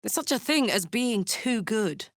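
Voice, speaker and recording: A woman's voice: on the high side, very animated, unhurried, no echo, studio quality, heavily acted. An actress voicing a brash, big-hearted young female warrior in a video game, blunt and swaggering, tough yet playful and emotionally open. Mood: heartfelt, somber. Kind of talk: video game character voice lines.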